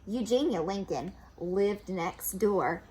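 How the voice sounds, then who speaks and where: A woman reads a children's storybook aloud at an unhurried pace. Her voice is fairly high and very animated, and she explains simply as she goes, over faint background noise. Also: low-quality recording. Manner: explains asides simply to young listeners.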